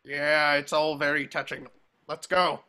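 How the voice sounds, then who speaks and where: A man playing a gruff, impatient old wizard war veteran who barks curt orders in a table read over a video call. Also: a little high, little pitch movement, unhurried, sharp tone. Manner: barking, commanding, curt.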